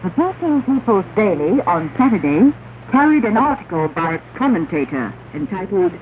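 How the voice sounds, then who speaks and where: A woman radio announcer reads a formal, measured, declamatory propaganda news bulletin on a 1960s shortwave broadcast. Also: mid-range voice, big pitch swings. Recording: narrow, noisy 1960s shortwave radio recording.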